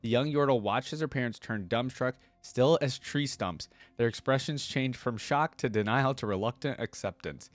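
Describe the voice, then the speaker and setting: A man's voice: medium pitch, moderate pace, few pauses, dry recording. A man reading a story aloud as a video host and reacting to it with informal, casual commentary.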